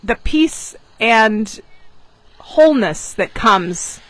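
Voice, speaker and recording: Woman coach, unhurried delivery, medium pitch, dry sound. Expressive vlog storytelling with dramatic pauses. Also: no room sound, low-quality recording. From born in Japan, grew up in England, American parents. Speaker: manifestation coach and class teacher. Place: outdoors on a beach.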